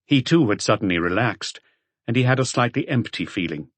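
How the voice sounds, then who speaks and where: A man reading a detective novel as an audiobook narrator in a measured way. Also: medium pitch, lively intonation, breathy edge, no room sound.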